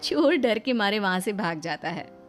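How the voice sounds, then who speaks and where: A woman's voice: medium pitch, expressive, moderate pace. Woman narrating a cartoon story, music underneath. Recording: background music behind the voice.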